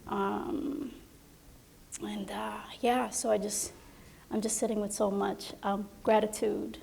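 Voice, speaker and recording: A woman from Somalia, a playwright on a panel, speaking softly and haltingly with many fillers as she reflects. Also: mid-range voice, level tone, gravelly, a little hiss or hum. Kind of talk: reflective personal sharing.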